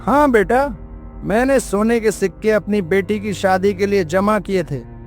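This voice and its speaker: A man playing a sorrowful old village father in an animated moral story, speaking plaintively with a creaky voice. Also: sits high, a little slow, soft background music. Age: older.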